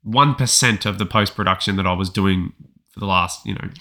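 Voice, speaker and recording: Deep-voiced man rambling on a podcast. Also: brisk, bright, forceful tone. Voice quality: deep, bassy voice. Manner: rambling, many restarts and fillers. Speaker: podcast co-host. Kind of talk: casual chat.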